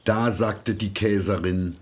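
A man narrating a scripted fairy tale in a deliberate, expressive storytelling manner. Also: lowish voice. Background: music.

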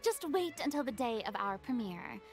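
A woman's voice: fairly high pitch, expressive, moderate pace, close to an everyday voice. A woman voicing a proud, theatrical young woman in a game, grandiose and boastful. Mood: haughty. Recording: game audio with music behind.

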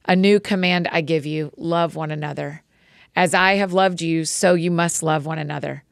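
A woman reading the words of a calm, authoritative male teacher in a measured, solemn way. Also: sits low, creaky, dry recording, clean studio sound.

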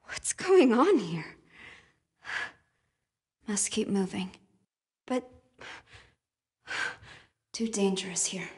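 A guarded, sarcastic young American woman in a video game. Her voice is high and bright with a breathy edge. She speaks expressively, as someone troubled by her own powers. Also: exaggerated voice. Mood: shaken, confused. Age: young adult.

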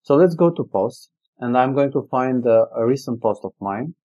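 Relaxed man demoing software on webcam. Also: mid-range voice, moderate pace, dull audio. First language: Albanian. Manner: relaxed, thinking aloud with frequent "okay" and "uh". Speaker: software company presenter. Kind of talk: screen-recorded product demo. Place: indoor room, webcam corner.

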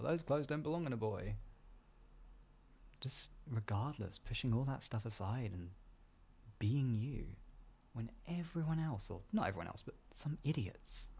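Husky, gravelly boyfriend played by a man. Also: mid-range voice, full character voice. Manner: soft, reassuring, intimate, with mild swearing. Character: protective, affectionate boyfriend comforting his insecure partner. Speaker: ASMR roleplay performer. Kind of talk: improvised ASMR comfort roleplay.

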